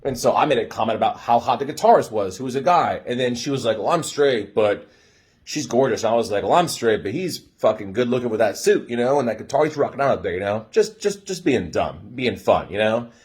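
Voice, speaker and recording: A young American man casually telling a story in an animated way, swearing freely and acting out dialogue. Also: medium pitch, fairly quick, slightly rough. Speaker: storyteller giving dating advice.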